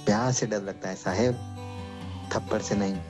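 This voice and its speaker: A man's voice: fairly low pitch, fairly even intonation, moderate pace, natural voice. A man acting a playful young blind man in a radio play, joking with his fiancée and then speaking earnestly, over guitar music. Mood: teasing. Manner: joking banter, turning earnest. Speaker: lead actor in a radio play.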